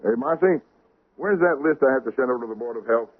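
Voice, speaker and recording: An actor playing an anxious, flustered middle-aged hotel owner in a 1970s radio drama. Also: male voice, mid-range voice, expressive, quick pace, soft background music, lightly acted. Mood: panicked. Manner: flustered, stammering. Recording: lo-fi old radio broadcast.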